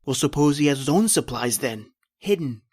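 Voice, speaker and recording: A man plays a hostile castaway in a radio horror play. He speaks at a middle pitch, bluntly and with a sneer, always suspicious and ready to threaten. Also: lively intonation, normal speed, darkish tone, breathy edge. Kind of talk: old-time radio horror drama acting.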